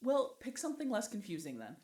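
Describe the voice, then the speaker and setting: A woman playing a weary, guarded, sardonic vampire in an intimate audio roleplay, with a breathy, husky delivery that often drops toward a whisper. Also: mid-range voice, huge pitch swings, darkish tone, dry recording. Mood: teasing, exasperated. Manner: dry, sardonic, halting. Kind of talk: intimate scripted audio roleplay addressed to the listener.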